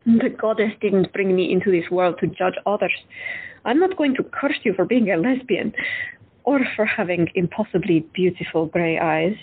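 A woman playing a frank, unconventional woman doctor who talks bluntly and candidly with a slightly husky voice. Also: mid-range voice, lively intonation, moderate pace. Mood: earnest. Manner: blunt, candid, lightly teasing. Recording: tinny phone-like audio.

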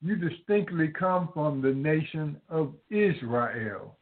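A man preaching to his listeners, halting often with repeated words and fillers. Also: a little high, animated. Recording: tinny phone-like audio.

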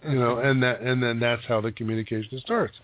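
A man's voice: medium pitch, fairly even intonation, a little fast, a little hiss or hum. Man talking over a thin phone-like line. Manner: rambling, restarts, jokey. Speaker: psychic medium, show regular. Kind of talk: casual radio talk and readings. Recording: dry, phone-like radio audio.

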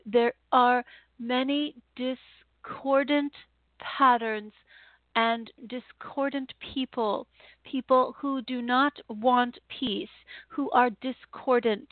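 A woman delivering a channeled spiritual message in a slow, halting, repetitive way, with sighs. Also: fairly high pitch, dry recording. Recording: tinny phone-like line, dry.

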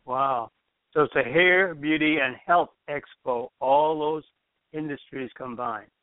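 A man's voice: on the high side, takes it slowly, no room sound. A man hosting a radio interview, reacting enthusiastically and laughing often. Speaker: radio show host. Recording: dry, tinny phone-like radio sound.

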